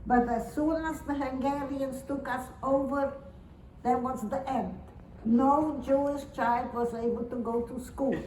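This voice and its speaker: High-voiced woman in her nineties, unhurried. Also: steady tone, a little mellow, slight background noise. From Romania. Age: 90s. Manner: earnest, slow and repetitive, with halting restarts. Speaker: Holocaust survivor giving testimony. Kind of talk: spoken testimony to an audience. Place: synagogue or community hall. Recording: roomy hall recording from far off.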